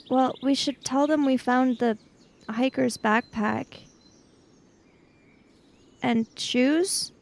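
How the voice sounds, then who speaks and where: A woman playing a sensible kid in improvised tabletop roleplay, reasoning aloud in a questioning, matter-of-fact way. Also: high-pitched. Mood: organising.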